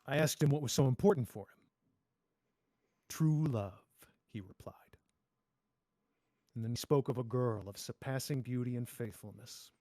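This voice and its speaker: A man's voice: mid-range voice, normal speed, pauses often, mellow, no echo, close to an everyday voice. A man playing a cool, cynical masked pirate with mocking, polite menace in a fairy-tale table read. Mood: cynical, taunting. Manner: sardonic, drawling taunts with polite menace.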